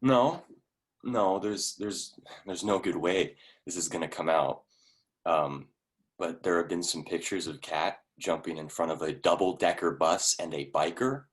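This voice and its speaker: Low-voiced, blunt man acted in a play. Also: a little slow. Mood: awkward, frank. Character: blunt, awkwardly tactful male investor friend. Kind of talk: staged play reading.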